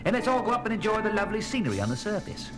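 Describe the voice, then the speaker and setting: An actor playing a bold, impulsive space pilot in a science fiction audio drama. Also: male voice, high-pitched, very animated, rapid-fire. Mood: stalling, bravado. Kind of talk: dramatic sci-fi audio play acting. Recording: loud music under the dialogue.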